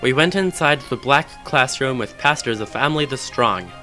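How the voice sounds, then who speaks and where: A man's voice: medium pitch, lively intonation, normal speed, sharp tone. A man reading fan fiction aloud as a dramatic narration, with music behind him.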